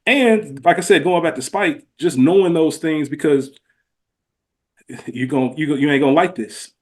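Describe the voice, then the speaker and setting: A man's voice: on the high side, normal speed. A man co-hosting a podcast in casual, heartfelt conversation, speaking earnestly in informal, slangy language and asking probing questions. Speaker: podcast co-host.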